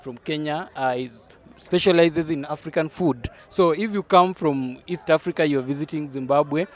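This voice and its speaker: A man on a podcast panel outdoors, welcoming his listeners warmly and stumbling with fillers. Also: fairly high pitch, normal speed. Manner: welcoming, hesitant with many fillers. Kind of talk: casual panel chat.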